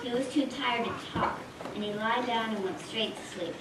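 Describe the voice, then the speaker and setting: A woman's voice: fairly high pitch, normal speed. A woman narrating a children's storybook tale for a live puppet show. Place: live stage in a roomy hall, far mic. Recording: distant, echoey, noisy live recording.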